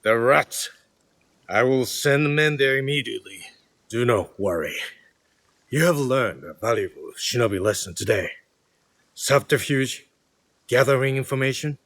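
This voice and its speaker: An actor playing a grave, battle-hardened older samurai lord in a video game, speaking with measured authority. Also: male voice, medium pitch, lively intonation, fairly bright tone, no echo. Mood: approving, measured. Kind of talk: video game cutscene acting.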